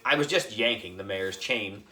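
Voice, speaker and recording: A man reading a children's book as a young boy narrator, expressive, dramatic and playful. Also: lowish voice, moderate pace, echoey room. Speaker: audiobook reader.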